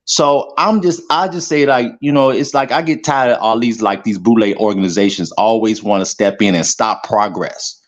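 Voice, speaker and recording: A man from the South Side of Chicago calling in to give his opinion, talking in a halting way with many fillers and restarts. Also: lowish voice. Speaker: caller. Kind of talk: casual call-in opinion.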